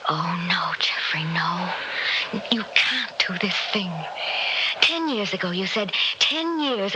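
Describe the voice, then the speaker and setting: An actress playing an anguished, pleading widow in a 1960s radio drama, speaking with emotional, breathy urgency. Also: female voice, fairly low pitch. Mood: anxious, questioning. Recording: noisy old radio recording.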